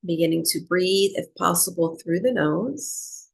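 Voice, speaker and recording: A woman teaching breathwork, guiding a meditation in short, unhurried phrases with long pauses between them. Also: fairly low pitch, creaky, husky, poor audio. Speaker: breathwork and yoga nidra teacher. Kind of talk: guided meditation, slow soothing instruction.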